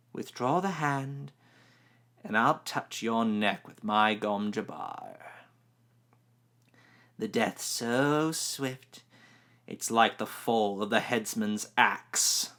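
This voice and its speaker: A stern, ancient woman, as a male narrator puts her on, speaks with slow, commanding menace in an audiobook reading. Her words come drawn out, the tone bright and tense. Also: lowish voice, full character voice.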